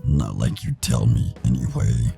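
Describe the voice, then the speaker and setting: Gruff, cocky furry bad boy: extremely deep and dark, slow, visual novel. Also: heavily acted. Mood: cocky, teasing. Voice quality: deep, gravelly. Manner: slow, drawn-out, teasing. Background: faint music.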